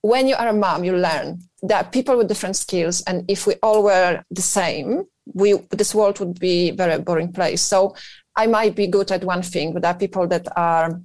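Woman guest, Polish accent, chatty with lots of fillers, lowish voice, dry studio sound. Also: moderate pace, a little mellow, no echo. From Poland. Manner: talkative, thinks aloud with many "you know" fillers. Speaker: guest, business founder. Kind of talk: radio interview.

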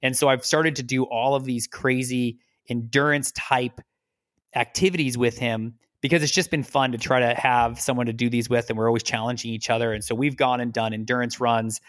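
A middle-aged man from the United States, a lawyer, telling his story as a podcast guest in a relaxed, rambling way with repeated words. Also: mid-range voice, brisk, dry recording, clean studio sound. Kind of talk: casual interview storytelling.

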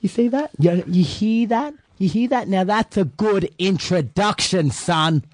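A man playing a cocky, loudmouthed wrestling persona on a podcast, boasting and calling people son. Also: high-pitched, animated, measured pace, dry recording. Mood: boastful. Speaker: wrestler guest.

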